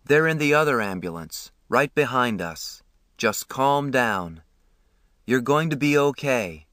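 A man voicing a calm, kind adult stranger reassuring a hurt teenager in a story reading. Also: medium pitch, measured pace, dry recording, studio quality.

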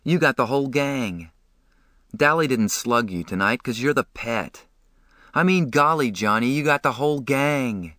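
A man's voice: medium pitch, lively intonation, unhurried, bright, forceful tone, no room sound, clean studio sound, pitched above the performer's own voice. A man reading an audiobook, voicing a sensitive, upset teenage boy who pleads and protests. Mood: consoling.

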